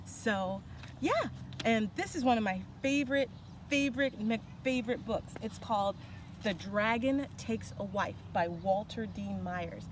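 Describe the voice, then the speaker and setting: A woman's voice: medium pitch, a little slow. A woman who works as a children's storyteller, speaking casually and reading aloud for kids. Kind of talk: animated read-aloud storytelling and casual introduction. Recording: clear ambient background noise.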